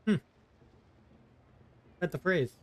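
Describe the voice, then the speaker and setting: A man streaming a game, reading the dialogue choices aloud and tossing in casual asides. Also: very slow. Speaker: gaming streamer. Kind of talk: reading player choices aloud over gameplay.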